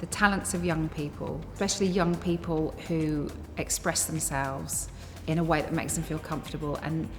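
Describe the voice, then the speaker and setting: A woman's voice: low voice, steady tone, normal speed, in a large hall, soft background music. A woman presenter talking to camera in an upbeat, earnest way about the show she created. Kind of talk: promo interview to camera.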